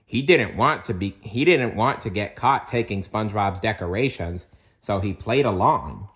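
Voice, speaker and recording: A man's voice: fairly low pitch, moderate pace, fairly bright tone. A man reading a storybook aloud as narrator, expressively and with playful asides. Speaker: storybook reader.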